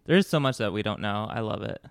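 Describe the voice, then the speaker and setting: A man guest on a podcast, chatting excitably and laughing easily. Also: fairly low pitch, fast, creaky, clean tone, dry recording. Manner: excitable, laughs often, gossipy. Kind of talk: casual chat.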